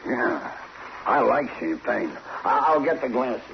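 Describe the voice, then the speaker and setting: Creaky young palooka, childlike, halting, plaintive, old radio drama, muffled with faint noise. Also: male voice, mid-range voice, animated. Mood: simple, earnest. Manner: halting, simple, plaintive speech. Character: slow-witted, childlike young male prizefighter, earnest and vulnerable. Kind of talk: old-time radio crime drama acting.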